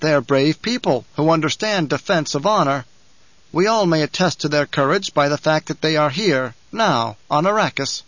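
A man voicing a calm male ecologist who lectures with quiet menace. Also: on the high side, no echo, lo-fi sound. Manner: lecturing, measured.

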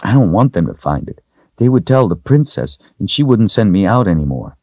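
An earnest, timid little servant girl from a storybook, acted out by a man, pitched up from his deep natural voice. The phrasing is simple and childlike, with a husky edge and a dry sound. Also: big pitch swings, fairly quick, dry recording, muffled recording. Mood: earnest, confiding.

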